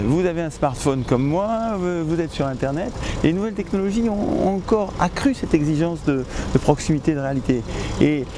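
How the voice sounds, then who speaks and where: A middle-aged man giving spontaneous interview answers as a local official, halting often to search for words. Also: on the high side. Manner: hesitant, halting delivery with many pauses and restarts. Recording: outdoor traffic and ambient noise.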